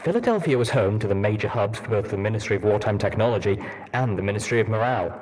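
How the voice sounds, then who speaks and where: A man as a low-voiced, stoic armored soldier stallion. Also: lively intonation, darkish tone, a little hiss or hum, close to an everyday voice, rough recording. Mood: reporting. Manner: measured, blunt, formal.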